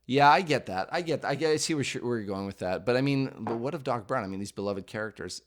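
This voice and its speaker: A man co-hosting a casual podcast, loud and animated as he retells film plots, sitting very close to the mic. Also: medium pitch, fast, a little hiss or hum. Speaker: podcast co-host. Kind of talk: casual chat. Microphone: close mic he leans into.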